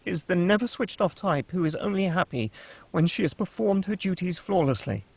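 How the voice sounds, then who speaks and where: Brief: table read narrator, matter-of-fact, husky voice. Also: male voice, high-pitched, normal speed, no room sound. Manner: steady, matter-of-fact reading. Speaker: narrator reading stage directions.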